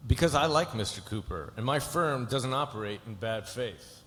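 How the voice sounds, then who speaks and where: A man's voice: mid-range voice, animated, moderate pace, fairly bright tone, lightly acted. A man playing a cocky, slick, unflappable corporate lawyer in a live script read, assured and cutting. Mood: firm, confident. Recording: echoey hall, noisy room sound.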